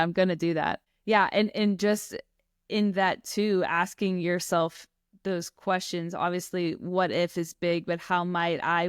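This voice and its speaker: A woman's voice: fairly low pitch, clear, resonant tone, dry recording, studio quality. A woman hosting a podcast, asking reflective, encouraging questions. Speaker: podcast host. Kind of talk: interview questions.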